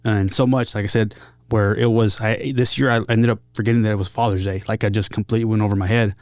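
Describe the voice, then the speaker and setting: Man, lowish voice, earnest, candid interview talk, halting phrasing, dry recording. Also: fairly even intonation, no room sound. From San Diego area, United States. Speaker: podcast guest, musician.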